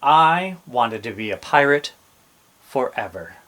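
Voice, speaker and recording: A man reading a children's story aloud as an expressive storyteller. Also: mid-range voice, takes it slowly.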